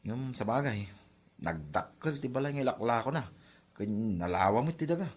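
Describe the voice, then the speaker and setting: Actor as a lively, agreeable Ilocano husband. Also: male voice, mid-range voice, moderate pace, breathy edge. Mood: earnest, chatty. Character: chatty married man, practical and agreeable. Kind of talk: conversational drama acting.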